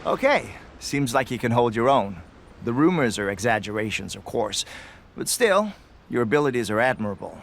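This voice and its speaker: A man's voice: mid-range voice, sing-song, moderate pace, creaky. A man playing a sober veteran acting captain in a video game, formal, blunt and military in manner. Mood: respectful. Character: sober, straight-talking male veteran soldier, acting captain. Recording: faint ambient noise behind the voice.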